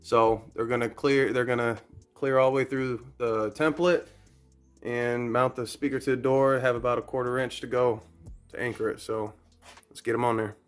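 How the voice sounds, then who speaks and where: A man's voice: mid-range voice, fairly even intonation, moderate pace, soft background music. A man hosting a DIY video, talking casually and plainly through each step as he works. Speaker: host of DIY car audio videos. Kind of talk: casual hands-on tutorial narration.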